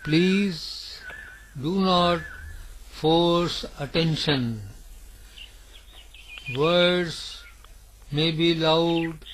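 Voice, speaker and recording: Very slow-paced man guiding meditation. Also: on the high side, slight background noise. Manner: deliberate short phrases with long pauses. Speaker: guided meditation instructor. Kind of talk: slow guided yoga nidra meditation narration.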